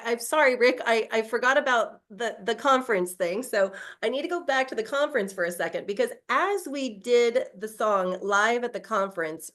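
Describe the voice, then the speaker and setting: Woman on a video call, emotional and rambly, lots of ums and restarts. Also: fairly high pitch. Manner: heartfelt, rambling, many fillers and restarts. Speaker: guest singer.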